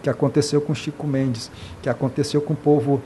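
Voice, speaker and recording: An earnest man from Brazil speaks in an interview at a mid pitch. He talks with passion, often stops to restart, and keeps adding tag questions. A faint noise runs under the recording. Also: fairly even intonation, brisk, warm tone. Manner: impassioned, many hesitations and repeated "né".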